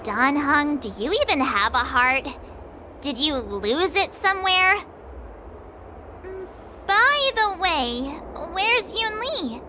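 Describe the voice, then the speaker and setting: A woman voicing an eager, spirited youngster in a video game, with animated and earnest delivery. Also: sits high, slow pace, slight background noise, exaggerated voice. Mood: mock indignant.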